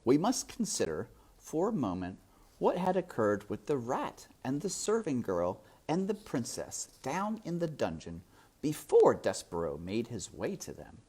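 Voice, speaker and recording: A woman reading a story aloud with dramatic, suspenseful narration that is sometimes hushed. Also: extremely low pitch, very animated, unhurried, husky. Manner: suspenseful, hushed at times, theatrical. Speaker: storybook reader.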